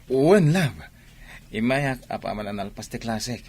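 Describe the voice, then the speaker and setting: An actor with an Ilocano Filipino accent playing a scheming young driver and kept lover in a radio drama. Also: male voice, medium pitch, lively intonation, measured pace, darkish tone, breathy edge. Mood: sweet-talking.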